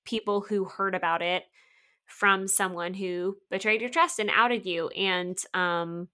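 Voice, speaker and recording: Bright-voiced woman asking earnest questions. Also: medium pitch, fairly even intonation, unhurried. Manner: earnest, probing questions, rephrasing as she goes. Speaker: podcast host. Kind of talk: casual chat.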